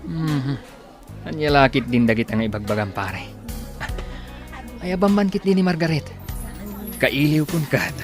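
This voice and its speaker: A man's voice: mid-range voice, animated, unhurried, pauses often, close to an everyday voice. A man acting a young husband in an Ilocano radio drama, devoted but fond of drink. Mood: tipsy, insistent. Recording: music behind the voice.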